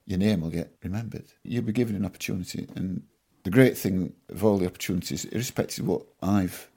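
Low husky older man, measured. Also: mellow. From Yorkshire. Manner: measured, modest storytelling with dry humour. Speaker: guest farmer. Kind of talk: reflective podcast interview. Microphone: podcast microphone.

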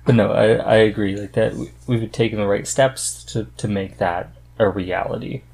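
A man with a fairly low voice hosts a podcast. He talks casually and conversationally, as if chatting at home with a partner. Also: fairly even intonation, moderate pace, vocal fry, a little mellow, breathy edge. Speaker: podcast host.

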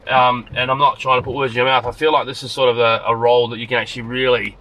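A man's voice: mid-range voice, level tone, fast talker, sharp tone, poor audio. A man interviewing a guest, asking friendly questions and adding brief encouraging replies. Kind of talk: casual interview chat.

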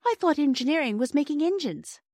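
A woman's voice: high voice, animated, brisk, a little mellow, no echo, studio quality, voice pushed up. A woman voicing an earnest, polite young girl in a storybook, hesitant and eager. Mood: wondering. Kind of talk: storybook character dialogue.

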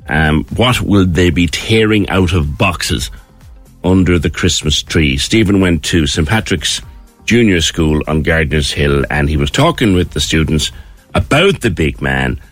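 A man's voice: fairly bright tone, dry recording. A man hosting a morning radio show in a chatty, playful way. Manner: chatty, playful, swoops up in pitch. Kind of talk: casual morning radio chat. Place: radio studio.

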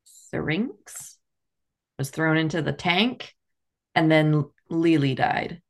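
A woman's voice: sits low, slow. A woman co-hosting a book podcast, chatting casually and candidly, peppering her sentences with "like". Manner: conversational, heavy use of "like", candid.

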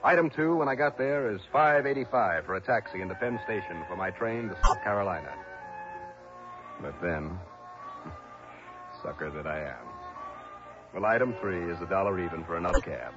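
An actor playing a wry, reluctant insurance investigator in a 1960s radio detective drama. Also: male voice, low voice, huge pitch swings, moderate pace, long pauses. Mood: wry, resigned. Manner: wry, hard-boiled first-person narrating. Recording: dull old radio drama, music behind.

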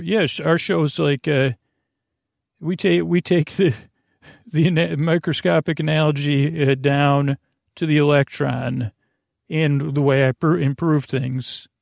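A man hosting a podcast, delivering a meandering, halting, self-deprecating bedtime monologue that keeps interrupting itself with digressions. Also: fairly high pitch, gravelly, no echo, clean studio sound. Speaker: podcast host.

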